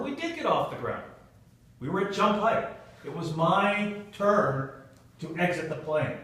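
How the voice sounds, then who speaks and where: A man giving a humorous storytelling speech to an audience in a theatrical manner, with dramatic pauses and stretched emphasis. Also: sits high, a bit bright. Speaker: Toastmasters club speaker telling a humorous tale. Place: indoor meeting room with an audience. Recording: echoey room, recorded from far off.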